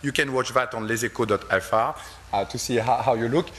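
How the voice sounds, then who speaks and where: Brief: French-accented male host, mid pitch, effusive and stammering, conference stage interviewer. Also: fairly quick, fairly bright tone. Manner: effusive, stammering with repeated words. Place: conference hall.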